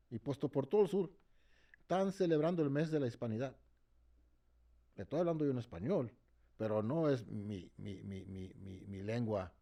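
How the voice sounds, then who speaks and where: Mellow-voiced man, impassioned and halting. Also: mid-range voice. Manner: repeats words while searching, tags phrases with "no?"; switches between English and Spanish. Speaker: interviewee, march organizer. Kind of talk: impassioned interview talk.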